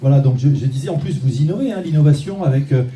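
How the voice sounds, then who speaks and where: A man working the stage as host of an awards ceremony, with a deep, dark and mellow voice. He talks loosely and jokingly, often starting a phrase again. The sound is roomy and distant, with faint music behind him. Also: lively intonation, fast talker, slight background noise. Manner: chatty, loose and jokey, with frequent restarts. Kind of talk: live stage hosting. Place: large event hall. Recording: echoey hall, mic at a distance, faint music.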